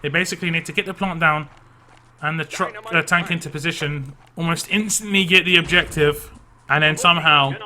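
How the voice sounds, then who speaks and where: A man casting a video game match live, tired and losing his voice, with frequent fillers and quick self-corrections. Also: a little high, fairly even intonation, bright, forceful tone. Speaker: esports caster.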